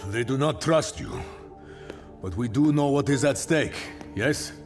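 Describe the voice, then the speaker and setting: A man's voice: medium pitch, expressive, a little slow, soft background music. A man acting a hardened, wry covert operative in a video game cutscene, terse and formal in a commanding way.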